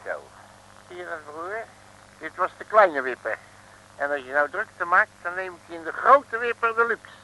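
A man's voice: high voice, lively intonation, normal speed, sharp tone, exaggerated voice. A man playing a blustering, bumbling detective who hems and grumbles in a comic Dutch radio serial. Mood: blustering bravado. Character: blustering, bumbling male detective boasting of wrestling grips. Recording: noisy old radio recording.

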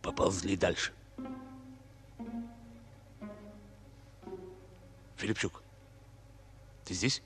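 An actor playing a stoic, terse Soviet infantry captain in a radio play, with a restrained delivery. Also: male voice, sits low, unhurried, a bit bright, faint music behind. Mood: anxious. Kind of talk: Russian radio play acting.

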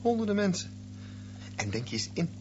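A muffled radio drama recording with faint noise carries a teenage boy swimmer. His voice swings expressively at a middle pitch, intense, bitter and defiant, with a dreamer's streak. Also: sing-song, fairly quick. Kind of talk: radio drama acting and narration.

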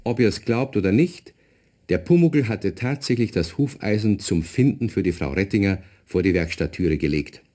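A man's voice: fairly low pitch, lively intonation, normal speed, mellow. A man as the storyteller of a children's radio play, narrating conversationally and addressing young listeners. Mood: storytelling.

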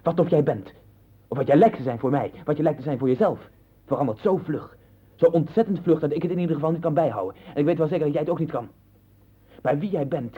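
Very husky, troubled, searching man. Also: on the high side. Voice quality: husky, breathy voice. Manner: insistent, probing questions and fevered monologue. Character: troubled, searching man sinking into obsessive doubt. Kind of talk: 1960s radio play acting in Dutch. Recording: dull 1960s radio recording.

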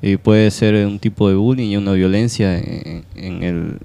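A man's voice: fairly low pitch, steady tone, a little slow, creaky, dark tone. A man offering an informal opinion in a discussion, hesitant and groping for words. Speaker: panel participant.

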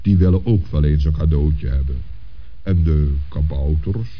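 A very deep-voiced old Saint Nicholas figure from a children's radio play, on a dull old recording. He is stately, kindly and patient, reassuring in a measured way. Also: husky, full character voice. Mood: thoughtful, kindly.

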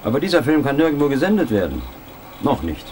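An actor playing a precise professor of digital image manipulation, speaking in a lecturing, matter-of-fact way. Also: male voice, medium pitch, big pitch swings, quick pace, darkish tone, slight background noise, natural voice.

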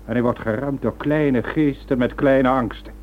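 An actor in a Dutch radio drama playing a scheming criminal leader, grandiose, bitter and scornful. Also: male voice, mid-range voice, steady tone, moderate pace, natural voice. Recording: old radio recording, narrow phone-like band.

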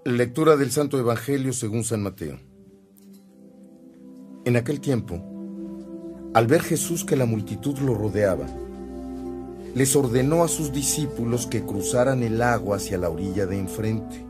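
Radio drama role, male actor: devout, wry Mexican priest posing as a peddler, measured pace. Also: mid-range voice, expressive, over music, lightly acted. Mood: solemn, devout. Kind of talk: Spanish-language radio drama acting.

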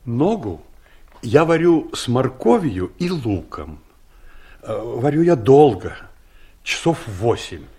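A man's voice: medium pitch, sing-song, slow, mellow, slightly rough, full character voice. An older man acting in an audio drama, playing a mild, fussy, law-abiding investigator who explains things earnestly and pedantically. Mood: pedantic, homely. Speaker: actor in a radio play.

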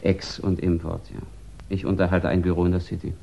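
A reluctant businessman in an old radio crime play. His voice is very low and soft-edged, and he answers with measured, guarded hesitation. The 1960s recording sounds muffled. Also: little pitch movement, vocal fry. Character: discreet, reluctant businessman giving testimony. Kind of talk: 1960s German radio crime drama acting.

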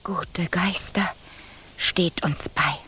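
An actress playing a brave, earnest fifteen-year-old princess in a fairy-tale radio play, speaking plainly in storybook phrases. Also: female voice, lowish voice, fairly even intonation, drawn-out words, bright, forceful tone, breathy edge, in a whisper, heavily acted. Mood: uneasy. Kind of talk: German radio play acting.

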